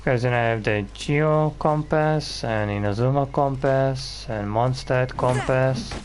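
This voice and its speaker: A man's voice: medium pitch, unhurried, a little hiss or hum. A man giving casual, rambling gameplay commentary as he plays. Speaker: gaming video host. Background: video game music.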